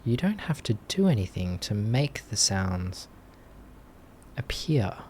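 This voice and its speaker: Slow, low-voiced man guiding meditation. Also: sing-song, slight background noise. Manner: gentle, unhurried, with long pauses between short phrases. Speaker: meditation teacher. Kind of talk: guided meditation. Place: live online group session.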